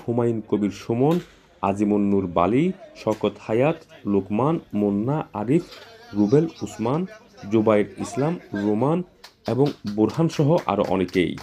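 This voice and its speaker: A man's voice: lowish voice, mellow. A man reading scripted news narration as a reporter.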